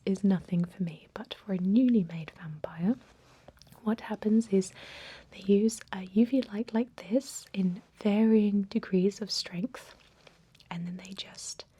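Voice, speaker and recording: A woman playing a thousand-year-old vampire medic in an ASMR role-play, whispering softly in a gentle, courteous, reassuring way with a hesitant, explanatory manner. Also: medium pitch. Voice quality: soft, breathy whisper. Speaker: emergency room clinician in a role-play.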